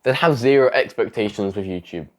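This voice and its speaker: A man's voice: lowish voice, brisk. A young man with a sick, failing voice giving rambling, self-correcting advice on his vlog. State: sick, voice going. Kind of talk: casual talking-head advice vlog.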